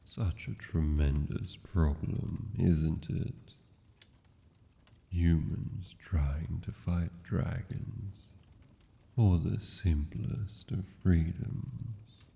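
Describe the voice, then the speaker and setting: A tender, possessive dragon king, acted by a man, speaks in a very low, extremely slow voice. His manner is courtly and intimate, as in a fantasy roleplay, and the muffled sound hangs in a long hall-like reverb. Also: creaky, dark tone, exaggerated voice. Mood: wry, indulgent. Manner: formal, unhurried, courtly endearments.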